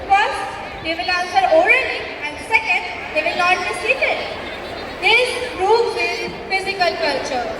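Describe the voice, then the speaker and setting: A woman's voice: very high-pitched, animated, a little slow, over a noisy crowd. A woman in an amateur stage play acting a pompous, declamatory school examiner. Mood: pompous approval. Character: pompous schoolteacher examiner twisting logic earnestly. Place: large hall stage. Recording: echoey noisy hall, distant mic.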